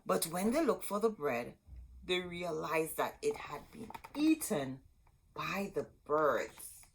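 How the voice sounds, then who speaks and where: A woman reading a children's story aloud as host, expressive and dramatic, drawing out words for emphasis. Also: sits low, takes it slowly, gravelly, slight background noise.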